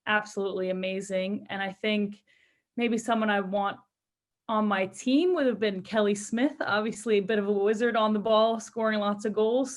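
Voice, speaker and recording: A young woman speaks as a footballer in an interview, with a mid-range voice. Her answers are casual and conversational, delivered in an upbeat, earnest manner. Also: mid-range voice. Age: young adult. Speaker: professional footballer being interviewed.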